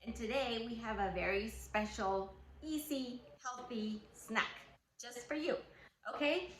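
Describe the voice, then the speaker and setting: A woman's voice: fairly high pitch, a little slow, fairly bright tone. A young woman from Guatemala leads a cooking demonstration in an upbeat, friendly way. She talks straight to the viewers at home. Her voice echoes in the kitchen over a faint background hum. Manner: cheerful, upbeat, speaks directly to viewers. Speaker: cooking class host. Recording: roomy kitchen, faint ambience.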